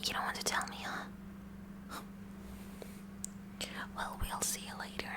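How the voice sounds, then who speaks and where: A woman playing a kooky, curious high school science club president in a whispered ASMR roleplay, softly and hesitantly chatty. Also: fast, lightly acted. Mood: curious, probing. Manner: soft, hesitant, inquisitive, chatty.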